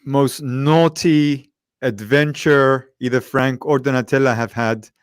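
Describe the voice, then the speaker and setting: A man's voice: mid-range voice, fairly even intonation, slow, clear, resonant tone, no room sound. Chatty male co-host in a dry room. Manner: stumbling starts, chatty. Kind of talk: casual interview questions.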